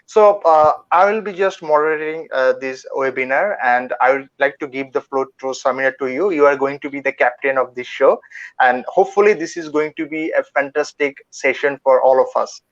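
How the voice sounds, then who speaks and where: A man opens an online webinar with a formal, halting introduction full of fillers. His voice sits at a middle pitch, and the call audio sounds dull and lo-fi. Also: a bit bright. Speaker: webinar moderator.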